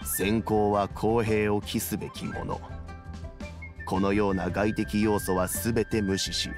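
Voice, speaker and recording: An actor playing a kindly, dignified old man in a game, speaking with measured, elderly diction. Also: lowish voice, huge pitch swings. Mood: grave, measured. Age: older. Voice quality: gravelly. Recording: background music under the voice.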